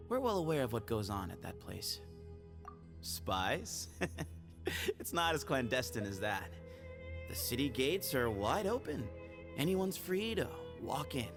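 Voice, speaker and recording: An actor playing a sly, teasing and evasive young man from the desert in a game cutscene. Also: medium pitch. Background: music score.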